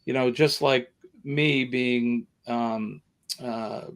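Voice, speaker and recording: A man with a Boston accent hosting a casual live stream, rambling and halting with many ums and repeated words. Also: mid-range voice, fairly even intonation. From northeastern United States.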